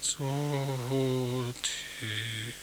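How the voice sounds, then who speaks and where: A man's voice: medium pitch, fairly even intonation, extremely slow. An actor playing a man in a radio conversation piece, on a hissy recording. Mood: slow, drawn out. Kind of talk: radio play acting.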